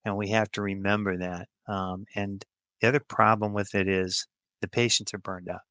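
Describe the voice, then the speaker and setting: A man's voice: on the low side, little pitch movement, normal speed, sharp tone, no echo. A middle-aged or older American man answering interview questions in an informal, plain-spoken and emphatic way. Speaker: podcast guest, healthcare executive.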